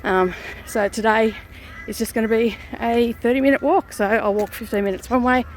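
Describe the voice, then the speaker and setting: A middle-aged Australian woman vlogging into her phone camera, chatting in a rambling way with a croaky morning voice. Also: a little high, steady tone, normal speed, slight background noise. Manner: rambling, chatty, lots of fillers and asides. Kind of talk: casual chat to camera.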